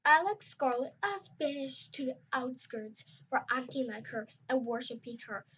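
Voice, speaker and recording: A woman's voice: high voice, lively intonation, normal speed, slightly rough, pitched above the performer's own voice, exaggerated voice. Barry, a brash young rainwing dragon, as a woman puts him on. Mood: gruff. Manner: loud, growling and pushy. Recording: dull lo-fi recording.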